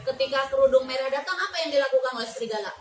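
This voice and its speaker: A woman's voice: high-pitched, expressive, rapid-fire, recorded from a distance. A young woman teacher reading a story aloud in a classroom, then quizzing a child with coaxing questions and half-finished words for the child to complete. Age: young adult. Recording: echoey room, voice from off-mic, clear noise.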